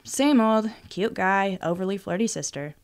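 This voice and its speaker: A woman's voice: mid-range voice, normal speed, natural voice. Wry young barista voiced by a woman. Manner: dry, offhand. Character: young female student barista, wry, casual, unimpressed.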